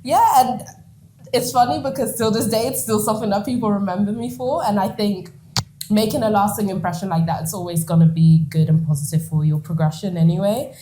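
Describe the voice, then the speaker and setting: A woman in her twenties from the Netherlands, telling her story as a guest in an interview, animated and quick to laugh. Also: medium pitch, slight background noise, poor audio. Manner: animated, laughs often, acts out quoted lines.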